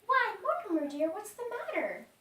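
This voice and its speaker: Woman playing a high-voiced, sweet, dotty elderly aunt. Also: big pitch swings, moderate pace, pushed and bright, exaggerated voice. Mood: sweetly fond. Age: older. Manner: genteel, placid, fussily polite. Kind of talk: stage comedy monologue. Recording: echoey room, far mic, faint noise.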